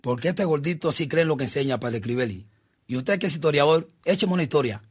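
Brief: cheeky Cuban everyman, radio drama, raspy, quick, slightly high. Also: expressive. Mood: cheeky, eager. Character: cheeky, eager Cuban man of the people.

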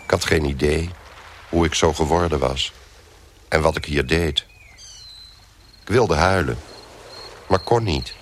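A man's voice: extremely low pitch, measured pace, long pauses. An actor playing a dazed, lonely, dying man turned into a lobster, narrating in a brooding monologue for a radio play. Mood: forlorn. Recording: faint background noise.

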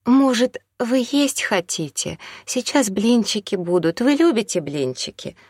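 A woman's voice: a little high, very animated, unhurried, a little mellow, breathy edge, dry recording, clean studio sound, voice pushed up, heavily acted. A woman voicing a meek, kindly older woman who fusses timidly in a story reading. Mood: timid offering. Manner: timid, conciliatory, easily hurt.

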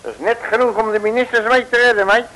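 An actor from the Netherlands playing an excitable, grumpy, bumbling detective who scolds and curses colourfully in a vintage Dutch comic radio serial. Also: male voice, sits high, quick pace, rough recording. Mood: impatient, blustering. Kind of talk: 1950s-60s comic radio serial acting. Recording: vintage mono radio recording.

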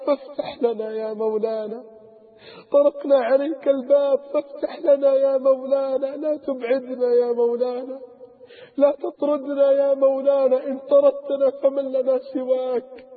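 Fervent high-voiced male preacher, tinny sound. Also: little pitch movement, normal speed, faint music behind. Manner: fervent, pleading, repetitive invocations. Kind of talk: emotional supplication sermon. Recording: tinny phone-like lo-fi recording.

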